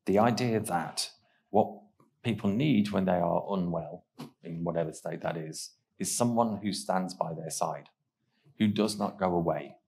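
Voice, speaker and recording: A middle-aged man hosts a panel on a conference stage. He has a very deep voice and a musing, loose delivery full of uhs, caught by a headset mic. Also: a little slow, gravelly, a little mellow. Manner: musing, with fillers. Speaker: host. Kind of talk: hosting a panel.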